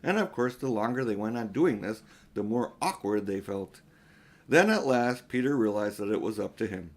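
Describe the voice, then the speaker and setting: A man's voice: lowish voice, expressive, moderate pace. An older man reading a children's storybook aloud in an informal, unpolished way. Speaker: audiobook reader.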